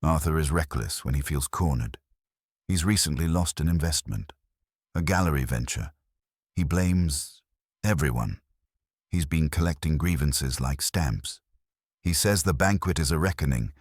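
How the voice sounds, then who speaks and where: A man voicing an anxious, well-bred wife in a detective story, husky, earnest and polite. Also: a bass voice, unhurried, no room sound, clean studio sound, exaggerated voice.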